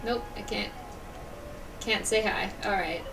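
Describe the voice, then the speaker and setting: A woman's voice: mid-range voice, slow pace, noisy background. A woman casually commentating on a game stream, muttering and sing-songing playfully to herself. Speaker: game livestreamer.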